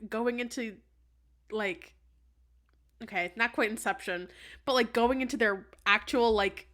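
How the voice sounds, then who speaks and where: Woman w a slightly high voice, casual podcast chat, kinda halting questions. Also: a bit bright. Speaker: podcast panelist.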